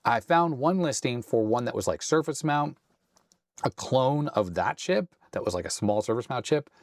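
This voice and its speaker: A man hosting a hands-on tech video with a slightly hoarse, raspy voice, thinking aloud in a tentative, self-deprecating way. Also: medium pitch, lively intonation, normal speed, no echo, studio quality. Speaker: host. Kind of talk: casual hands-on tech walkthrough.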